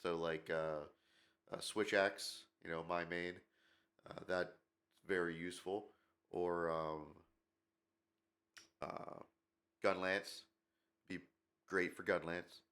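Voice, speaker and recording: A man's voice: low-pitched, level tone, slow pace, long pauses, fairly bright tone. A man hosting a gaming channel, talking in a rambling, opinionated way with lots of fillers, his nose stuffed up from a cold. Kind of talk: casual commentary video.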